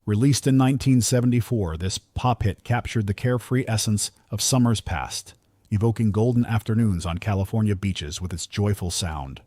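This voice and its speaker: A man's voice: fairly low pitch, normal speed, warm tone, no echo, studio quality. A man reading a scripted music countdown as narrator, with upbeat, promotional phrasing.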